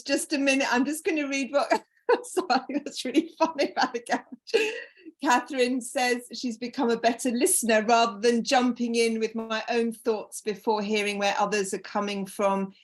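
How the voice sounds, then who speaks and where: A woman with a British accent leading an online group conversation over a video call, reading out chat comments and inviting people to speak. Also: mid-range voice. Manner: encouraging, reads out chat comments. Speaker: facilitator.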